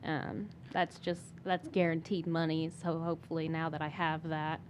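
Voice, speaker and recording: A woman, a guest on a podcast, talking casually and softly with many fillers and restarts. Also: low voice, little pitch movement, normal speed, lo-fi sound, a little hiss or hum. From Michigan. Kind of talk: casual interview talk.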